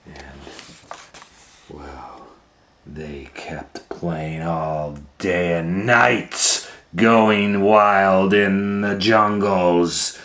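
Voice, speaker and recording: A man reading a picture book aloud to children in a theatrical way, swinging between hushed tones and loud exclamations. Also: deep voice. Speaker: storytime reader and educator. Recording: roomy echo, faint background noise.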